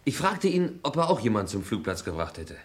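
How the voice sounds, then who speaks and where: Polite hesitant young witness in a vintage radio thriller, low voice, halting. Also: male voice, big pitch swings. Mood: hesitant, earnest. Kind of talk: 1950s German radio crime drama acting.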